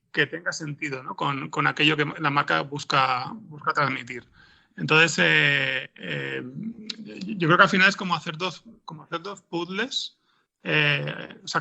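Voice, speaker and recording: Man, Spain accent, slightly high pitch, heavy vocal fry, casual interview guest, halting word search. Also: bright, forceful tone, dull audio. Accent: Spanish (Spain). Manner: hesitant, searching for words. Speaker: interview guest, audio fiction screenwriter.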